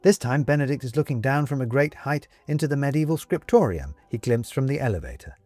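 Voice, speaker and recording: A man's voice: medium pitch, animated, fairly quick, darkish tone, no room sound, soft background music. A man reading scripted audiobook narration expressively, as a storyteller. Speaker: story reader.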